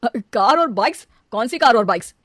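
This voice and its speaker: A woman's voice: on the high side, expressive, fairly quick, fairly bright tone, no echo, studio quality. A woman voicing a boastful young husband in a cartoon, a shameless liar who glibly justifies himself. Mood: glib, defensive. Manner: glib, hesitates then brazenly justifies himself. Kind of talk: animated cartoon dialogue.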